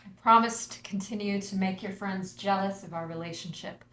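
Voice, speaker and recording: A woman's voice: medium pitch, brisk, a bit bright, roomy sound, a little hiss or hum, close to an everyday voice. A woman reading Maggie, a witty loving wife. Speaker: actor in a table read. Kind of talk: screenplay table read.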